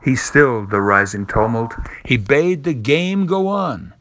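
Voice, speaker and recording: Bright-voiced man reciting poetry, slow and dramatic. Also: mid-range voice, a little hiss or hum. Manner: theatrical, measured, emphatic delivery. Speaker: poem reader.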